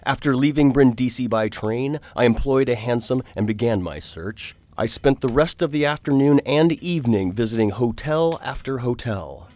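A man playing a formal, courteous Victorian gentleman who narrates his own adventures in a radio drama. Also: mid-range voice, animated, close to an everyday voice. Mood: composed. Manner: formal, courteous, measured old-fashioned English. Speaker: narrator and lead character.

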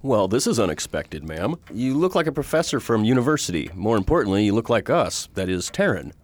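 A man's voice: lowish voice, big pitch swings, brisk. A man playing a courteous, earnest middle-aged space lawman in a science fiction radio drama. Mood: polite, wondering.

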